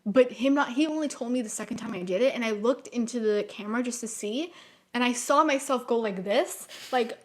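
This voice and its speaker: A young woman co-hosting a casual podcast, telling stories in an animated way and filling her talk with "like" and "oh my God.". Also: a little high, a little fast. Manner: animated storyteller, says "oh my God" and "like" a lot. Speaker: podcast co-host. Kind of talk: casual chat.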